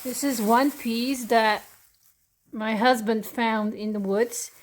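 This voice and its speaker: A woman with a Dutch accent casually explaining a craft project, pausing often with fillers and correcting herself as she goes. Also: a little high, fairly even intonation. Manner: hesitant, many pauses and fillers, self-correcting. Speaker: hobby crafter showing a miniature build. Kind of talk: casual show-and-tell explanation.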